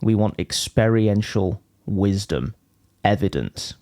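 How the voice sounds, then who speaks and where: A man leading a guided meditation as a teacher, speaking in measured, deliberate phrases with frequent pauses. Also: on the low side, animated, mellow, no room sound.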